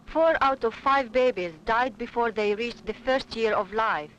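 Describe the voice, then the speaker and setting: A woman's voice: fairly high pitch, unhurried, a bit bright. Woman's testimony on noisy old film. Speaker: refugee testimony narrator. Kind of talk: scripted first-person testimony. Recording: noisy 1970s documentary film soundtrack.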